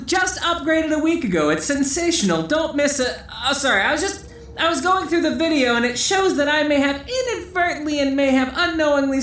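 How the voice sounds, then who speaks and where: Sci-fi droid sidekick, female actor: evasive, pedantic, over-polite chatterbox, high register, echoey. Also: fairly bright tone. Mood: rambling, sheepish. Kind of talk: sci-fi audio drama acting.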